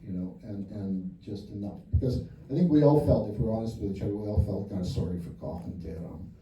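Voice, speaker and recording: A man with a low, dark, mellow voice talks through his ideas in a loose, rambling way, full of fillers and false starts. He is picked up from a distance in a reverberant cinema hall. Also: quick pace. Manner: rambling, thinking aloud with many fillers. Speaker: film director. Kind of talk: post-screening Q&A. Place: cinema auditorium. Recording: echoey theater from far off.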